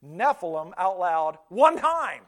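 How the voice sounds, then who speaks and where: High-voiced pastor in his fifties. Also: sing-song, takes it slowly. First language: Pennsylvania Dutch. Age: 50s. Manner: conversational storytelling with frequent restarts and stammered repeats. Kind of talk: sermon.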